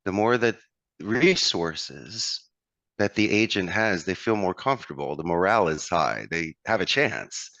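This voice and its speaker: A man's voice: low voice, lively intonation, a little slow, fairly bright tone, no echo. A man with a Philadelphia accent, a guest on an interview who talks in an expansive, motivational way. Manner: expansive, motivational, addresses host by name. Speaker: call center owner, guest. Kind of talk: conversational interview.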